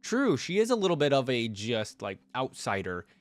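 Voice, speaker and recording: A man's voice: medium pitch, big pitch swings, no echo. A man hosting a reaction video, chatting casually and reading text aloud with frequent asides. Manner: chatty, pauses to add asides.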